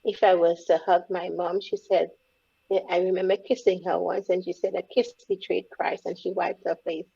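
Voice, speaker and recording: A woman talks in a low, gravelly, husky voice. She is mellow and reflective as she shares her story. The audio is dull and lo-fi. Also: little pitch movement, normal speed. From Caribbean, born in Grenada, raised in Trinidad. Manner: reflective, speaks openly about hard memories. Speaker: guest, empowerment coach. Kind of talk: candid interview conversation.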